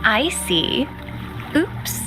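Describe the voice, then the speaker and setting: Seductive game villainess, high-pitched, languid pace, coy, teasing, flirtatious, music underneath. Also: female voice, sing-song, drawn-out words, heavily acted, low-quality recording. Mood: teasing, amused. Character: playful, seductive, teasing femme fatale villainess. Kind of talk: video game voice acting.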